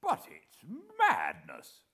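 A man's voice: low voice, huge pitch swings, drawn-out words, creaky, bright, forceful tone, heavily acted. A man voicing an indignant, blustering, disbelieving British general in an audiobook reading. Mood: indignant disbelief. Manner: blustering, emphatic.

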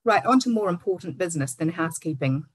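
Low-voiced New Zealand woman hosting a webinar. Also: expressive, breathy edge, no echo. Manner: measured, formal, reading from a script. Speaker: webinar host. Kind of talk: scripted webinar introduction. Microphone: video call.

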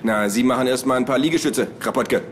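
A man's voice: mid-range voice, fast, a little hiss or hum, voice pushed up, lightly acted. A man playing a grim, pedantic fake army captain in a comic audio story, stern and dry.

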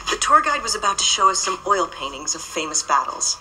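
A cool teasing explorer. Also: female voice, fairly low pitch, big pitch swings, fairly quick, sharp tone, distant mic, heavily acted, low-quality recording.

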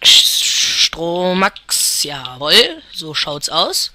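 A man's voice: fairly high pitch, huge pitch swings, drawn-out words, bright, forceful tone. A young man from Germany giving casual, rambling commentary over a football video game as he thinks aloud and chuckles. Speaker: let's player.

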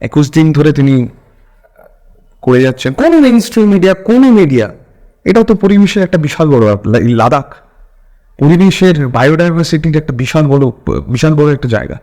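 A man with a West Bengal Bengali accent interviewing conversationally, halting and rephrasing his points as he goes. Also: a little high, animated, warm tone.

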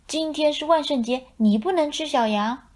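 一位女性在童话绘本里扮演勇敢又爱指挥人的小羊，语气稚气而坚决。. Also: female voice, sits high, moderate pace, pitched above the performer's own voice, lightly acted. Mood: brave, demanding. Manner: insistent, childlike scolding and demanding. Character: bold, bossy little lambs on Halloween.

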